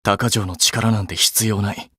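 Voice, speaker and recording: A man's voice: fairly low pitch, steady tone, a little slow, pushed and bright, husky, no echo, clean studio sound, heavily acted. A man voicing an earnest, blunt but caring young idol in an anime game, speaking casually and a little awkwardly. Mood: earnest, serious. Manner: casual clipped polite speech, flustered hesitations.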